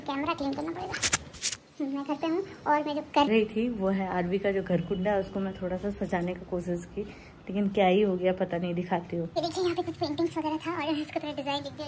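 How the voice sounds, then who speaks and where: A woman's voice: sits high, background noise. Chatty young woman, Hindi accent, home vlog. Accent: Indian Hindi. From India. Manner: chatty, explains step by step to viewers. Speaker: family vlogger. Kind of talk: casual home vlog narration. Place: home kitchen and bedrooms, indoors. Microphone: handheld phone at arm's length.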